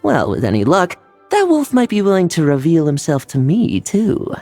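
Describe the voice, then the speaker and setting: A woman's voice: sits low, huge pitch swings, moderate pace, warm tone, soft background music, full character voice. A woman playing a spirited, determined young female courier knight in a video game. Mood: determined, upbeat. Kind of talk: game voice acting.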